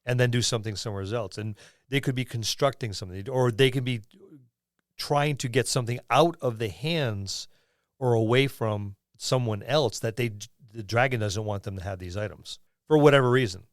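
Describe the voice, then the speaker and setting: A man's voice: mid-range voice, moderate pace, dry recording, clean studio sound. A man hosting a casual tabletop role-playing podcast, talking in a rambling way and explaining things through examples. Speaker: podcast host and experienced game master.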